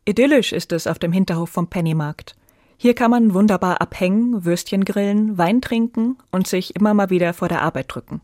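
A woman's voice: medium pitch, brisk, dark tone, no echo, clean studio sound. A woman reading a scripted audiobook review as a narrator.

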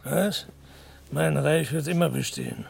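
A gravelly, anxious, vain king. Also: a little high, measured pace, a little mellow. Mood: proud, defiant. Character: Xerxes, anxious, vain male Persian king. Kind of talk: radio drama acting.

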